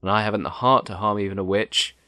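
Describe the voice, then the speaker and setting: A man's voice: lowish voice, steady tone, fast, pushed and bright, dry recording, clean studio sound. Man as earnest, loyal tin woodman. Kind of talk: children's storybook character voice.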